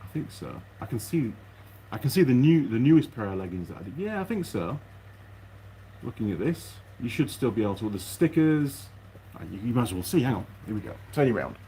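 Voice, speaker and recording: A man's voice: medium pitch, big pitch swings, fairly quick, mellow, a little hiss or hum, rough recording. A man chatting casually and unscripted to his viewers as a mechanic vlogger, rambling along and thinking aloud in his workshop.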